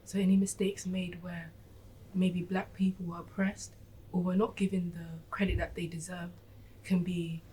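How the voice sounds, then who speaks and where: Soft, breathy young woman. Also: on the low side, level tone, normal speed, slight background noise, rough recording. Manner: quiet, hesitant. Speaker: student.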